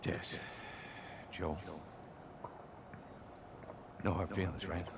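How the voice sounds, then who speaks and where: An actor playing a weaselly, cornered arms dealer in a video game, stammering, wheedling and evasive, with noise around him. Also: male voice, low voice, fairly even intonation, extremely slow. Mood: falsely friendly.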